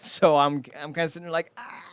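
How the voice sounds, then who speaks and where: A man's voice: on the high side, fairly even intonation, fast, fairly bright tone, clean tone. A man co-hosting a casual pop-culture podcast, rambling and swearing freely as he chats. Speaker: podcast co-host.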